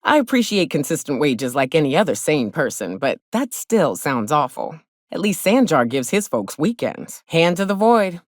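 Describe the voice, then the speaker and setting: Video game companion: hard-drinking wilderness guide, deep female voice, animated delivery, blunt folksy wit. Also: moderate pace, no echo, studio quality. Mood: wry, blunt. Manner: blunt, wry, folksy frontier speech with ain't and mite. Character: gruff, hard-drinking frontier woman, hunter and guide for hire.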